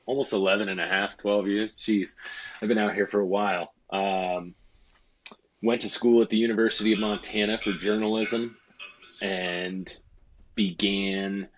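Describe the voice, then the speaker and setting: A man's voice: deep voice, little pitch movement, a little slow, poor audio. A forty-year-old man, a podcast guest, talking in an easygoing, friendly way. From western United States. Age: 40s. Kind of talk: casual podcast chat.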